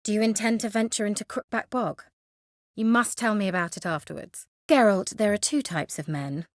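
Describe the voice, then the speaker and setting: A woman's voice: medium pitch, a little mellow, no room sound, studio quality, close to an everyday voice. A woman playing a haughty, sly sorceress in a fantasy video game, articulate, wry and teasing. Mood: coy, inviting.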